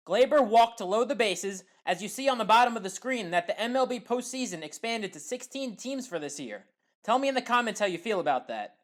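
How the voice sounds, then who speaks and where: A woman giving a scripted play-by-play voice-over of game highlights, in a steady recap delivery that lifts on big plays. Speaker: highlights commentator.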